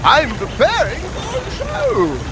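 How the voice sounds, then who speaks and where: Pompous showman con man in a game, high voice swooping all over, bit of noise. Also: wildly swooping pitch, slow, full character voice. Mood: charming, flattering. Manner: florid, effusive, pompous showman. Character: theatrical, flattering middle-aged gentleman con artist. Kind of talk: dramatic video game acting.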